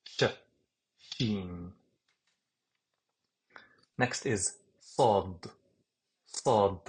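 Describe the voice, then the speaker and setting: A man with a Palestinian accent walks through a language lesson at an unhurried pace, saying every letter twice or three times. His voice is a little husky and sits on the low side. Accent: Palestinian Arabic. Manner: slow and patient, repeating each letter name. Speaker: Arabic teacher. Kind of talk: screen-share alphabet lesson.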